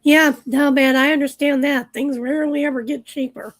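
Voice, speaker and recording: A woman hosting a livestream, chatting casually and rambling with viewers while her voice is going hoarse. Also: sits high, fairly even intonation, moderate pace. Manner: chatty, rambling, lots of fillers. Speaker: livestream host. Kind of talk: casual chat with live chat viewers.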